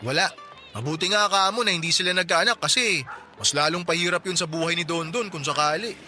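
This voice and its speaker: A helpful, talkative neighbor in his twenties for a radio drama. His voice sits high and rings very bright. He teases easily, and soft music plays underneath. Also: fast talker. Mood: friendly chatty. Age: 20s. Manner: chatty, joking, helpful. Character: friendly chatty young working-class neighbor man. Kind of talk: Tagalog radio drama acting.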